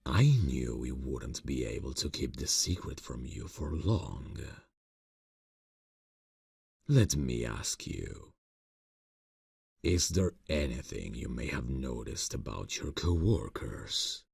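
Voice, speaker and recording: A man voicing a suave, sinister vampire boss in an ASMR fantasy roleplay, speaking slowly and formally in a gravelly, husky voice with silky, courteous menace. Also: rumbling low, darkish tone, no echo, studio quality, full character voice. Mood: intrigued, conspiratorial. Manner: slow, silky, formal, condescending endearments.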